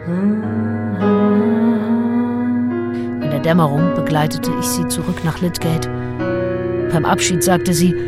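An actress playing a self-assured, calculating nineteenth-century seducer who narrates her diary in a radio play, wry and deliberate. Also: fairly low pitch, natural voice. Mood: pleased, musing. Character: self-assured, calculating female seducer and diarist, early 1800s.

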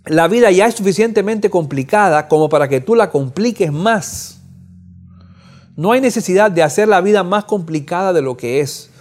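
A man in his fifties teaches a Bible lesson in a somewhat high voice. He speaks earnestly, repeating words as he thinks and climbing in pitch when he stresses a point. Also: lively intonation, normal speed, a little mellow. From Cuba. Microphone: audio recording.

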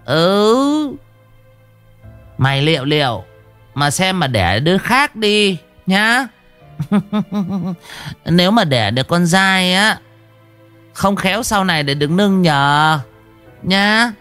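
A man's voice: high voice, big pitch swings, slow pace, long pauses, sharp tone, clear, resonant tone, soft background music, voice pushed up, exaggerated voice. A man voicing a cruel old village mother-in-law who scolds with scorn and cackles slyly.

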